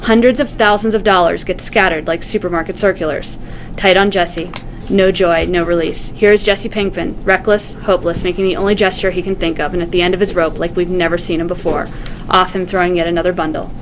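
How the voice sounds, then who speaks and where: A woman's voice: on the low side, fairly even intonation, fairly quick, noisy background. A woman reading scene directions as the narrator at a script table read.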